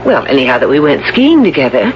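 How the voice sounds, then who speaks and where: An actress in a 1970s radio drama playing a reckless, thrill-seeking young wife, scoffing and protesting, defiant but uneasy. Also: female voice, a bass voice, wildly swooping pitch, fast, heavily acted. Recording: muffled, noisy old radio recording.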